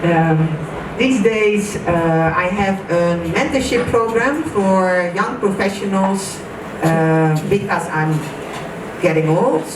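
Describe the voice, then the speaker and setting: A woman's voice: medium pitch, slow. Dutch-accented woman, echoey and distant. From Netherlands. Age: late 40s. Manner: warm, rambling, laughs often, many fillers. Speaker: relationship and family counselor. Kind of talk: self-introduction at a gathering. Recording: echoey room from a distance with noise.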